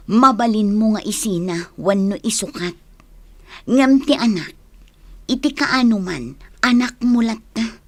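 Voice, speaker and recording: A woman's voice: on the high side, no echo. An actress playing a woman who scolds and advises her best friend in a radio drama. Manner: chiding, advising.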